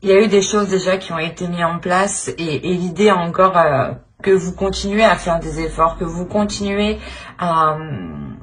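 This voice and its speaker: A woman's voice: lowish voice, expressive, normal speed. A woman giving an improvised tarot reading straight to the viewer, feeling her way through repeated phrases and tag questions. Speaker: tarot reader, channel host.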